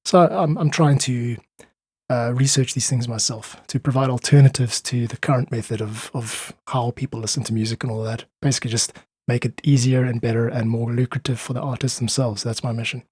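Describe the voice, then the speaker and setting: A man with a slightly rough, husky voice at an ordinary male pitch. He explains things articulately and thoughtfully, as a guest in an interview. The sound is dry and close, with little room to it. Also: steady tone, fairly quick, darkish tone, no echo. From South Africa. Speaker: podcast guest, independent musician.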